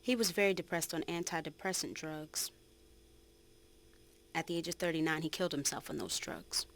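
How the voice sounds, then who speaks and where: A woman's voice: low-pitched, moderate pace, fairly bright tone. A woman giving a dramatic reading as a soldier's grieving younger sister. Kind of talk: dramatic reading of a written commentary.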